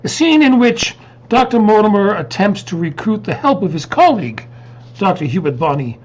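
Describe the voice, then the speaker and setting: A man's voice: high-pitched, sing-song, moderate pace. A man announcing and introducing a scene at a readers theatre performance. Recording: dull, distant sound.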